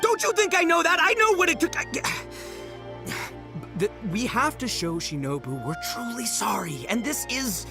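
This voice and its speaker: A man's voice: extremely high pitch, big pitch swings, a little slow, creaky, heavily acted. An actor playing a cocky, boisterous young male gang boss in a video game, with a bombastic, slangy way of talking. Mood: remorseful, resolute. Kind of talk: animated video game voice acting. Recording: music behind the voice.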